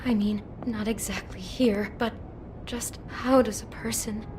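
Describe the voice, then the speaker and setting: An actress playing a shaken, compassionate young woman in a video game, speaking in an anxious, halting, emotional way. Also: mid-range voice, steady tone, noisy background, natural voice. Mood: sorrowful. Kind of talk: naturalistic video game voice acting.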